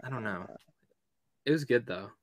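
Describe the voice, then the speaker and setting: A man's voice: medium pitch, expressive, moderate pace, no room sound, clean studio sound. A man hosting a podcast, chatting informally with swearing and lots of "like". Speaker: podcast host. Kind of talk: casual podcast chat.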